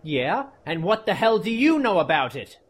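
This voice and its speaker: A man playing a pretentious, prickly troll poet in a radio play, theatrical and quick to bicker. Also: high-pitched, very animated. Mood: indignant. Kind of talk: fan-made radio play acting. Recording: music behind the voice.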